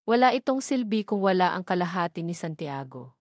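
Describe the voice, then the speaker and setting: A woman's voice: fairly low pitch, sing-song, fairly quick, dry recording, clean studio sound. A woman voicing a cold, commanding schemer who gives terse, imperious orders in an audio drama. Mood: calculating.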